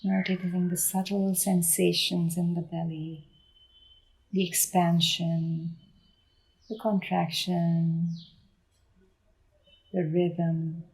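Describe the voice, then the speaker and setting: A woman guides a meditation very slowly and gently. Her voice sits a little low, with a soft, mellow tone. She leaves deliberate pauses between short, unhurried phrases. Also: flat delivery, low-quality recording. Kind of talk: guided body scan meditation.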